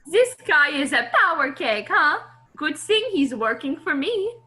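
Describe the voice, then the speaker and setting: A pompous villain boss voiced by a woman, high and sharp, with swooping, animated delivery. Bossy and possessive, this comedy tyrant gives orders like a petulant big kid. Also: a little slow, exaggerated voice. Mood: smug. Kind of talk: amateur comedic script reading.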